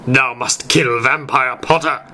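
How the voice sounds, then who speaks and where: A man voicing a cruel dark wizard villain who declaims pompously in archaic speech, in an amateur story reading. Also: medium pitch, fairly even intonation, measured pace, a little hiss or hum, voice pushed up. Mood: menacing, commanding.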